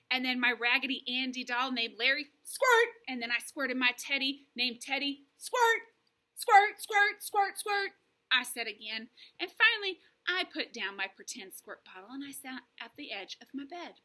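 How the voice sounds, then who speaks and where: A woman voicing an excitable, mischievous little girl who narrates a children's storybook theatrically, in childlike grammar. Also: fairly high pitch. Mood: playful. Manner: theatrical, childlike grammar, dramatic shrieks and hushes. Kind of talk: animated children's storybook reading.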